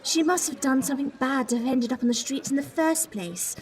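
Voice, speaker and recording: An actress playing a stern, snobbish Victorian nursing matron in an audio drama, haughty and scolding. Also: female voice, sits high, fairly quick, close to an everyday voice. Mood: scolding, disdainful.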